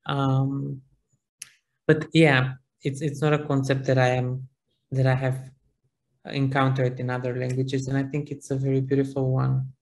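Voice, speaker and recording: Macedonian-speaking guy in a class discussion, gravelly and flat, lots of ums. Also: medium pitch, unhurried. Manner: hesitant, searching for words, many fillers.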